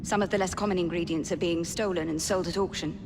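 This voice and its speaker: A woman playing a brisk Victorian nurse. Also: lowish voice, steady tone, noisy background, lightly acted. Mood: urgent. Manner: brisk, proper, businesslike. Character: composed Victorian nurse, middle-aged woman. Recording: game cutscene with music and long reverb.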